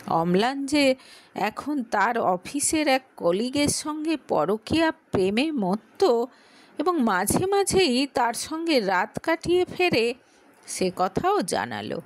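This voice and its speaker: A woman's voice: a little high, big pitch swings, takes it slowly. A woman narrating a dramatic scripted story as an audio storyteller.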